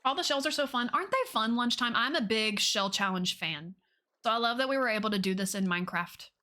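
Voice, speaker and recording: A woman with a fairly high, very bright voice hosts a casual game livestream. She talks informally and gushes with enthusiasm, often repeating phrases and addressing her viewers as y'all. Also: fairly quick. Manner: gushing, enthusiastic.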